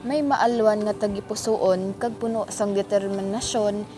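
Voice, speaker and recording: A woman narrating a radio drama in a steady, measured storytelling manner. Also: medium pitch. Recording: echoey room with loud music.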